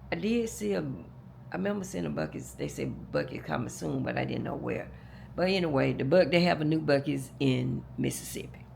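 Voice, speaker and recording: A hoarse woman, telling a long story to her viewers in a casual, rambling way, with many restarts. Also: deep voice, a little mellow, slight background noise. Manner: rambling, repeats and restarts, talks straight to "y'all". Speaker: vlogger.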